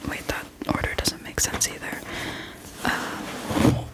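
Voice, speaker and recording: A woman hosting a casual whispered ASMR book chat, speaking in a soft, breathy whisper with a hesitant, self-deprecating manner. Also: takes it slowly. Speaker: book video host.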